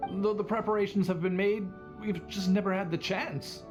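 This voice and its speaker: Guy doing a polite young anime game bartender, high lively voice, bit nervous, music under it. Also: moderate pace, natural voice. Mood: polite, eager. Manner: courteous, hesitant, accommodating.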